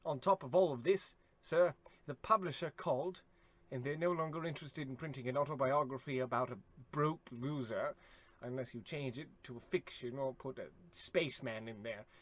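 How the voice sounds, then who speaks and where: A man's voice: medium pitch, normal speed, no echo, close to an everyday voice. A man playing a meek, loyal, deferential longtime servant who stammers, in a radio comedy play. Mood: nervous apology. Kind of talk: old-time radio comedy acting.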